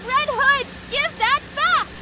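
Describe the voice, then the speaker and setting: A woman playing a sweet, earnest, easily flustered girlish soldier in a video game voice drama, gentle and shy. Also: very high voice, slow, heavily acted.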